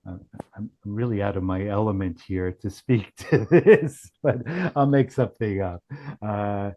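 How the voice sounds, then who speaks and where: A man giving a casual software demonstration in a halting way, thinking aloud as he goes. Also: lowish voice. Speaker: company founder demonstrating software. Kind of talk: casual product demo.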